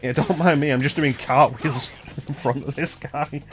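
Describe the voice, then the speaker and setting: A man's voice: fairly high pitch, fairly even intonation, moderate pace. A man giving casual, joking live commentary as he streams a video game, with some swearing. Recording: music behind the voice. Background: video game music.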